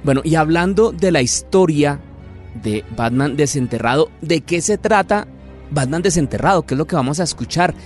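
A man's voice: a little high, lively intonation, normal speed. A man hosting and interviewing in a radio style, emphatic and formal with his guest. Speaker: podcast host and interviewer. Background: music.

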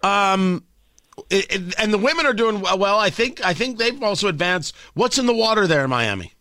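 A man's voice: high voice, lively intonation, normal speed, pushed and bright, dry recording, studio quality. A man hosting a sports radio show, bantering casually with his guest. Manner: bantering, conversational. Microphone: studio mic.

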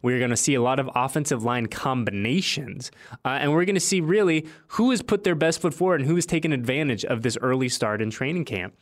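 A man's voice: medium pitch, fast talker. A man hosting a sports podcast in an upbeat, conversational style, speaking emphatically and repeating phrases to drive points home.

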